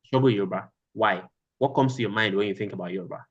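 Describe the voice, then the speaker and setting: A man's voice: lowish voice, fast talker, breathy edge, muffled recording. A man teaching a class over a video call, repeating his phrases for emphasis. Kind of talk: online class lecture.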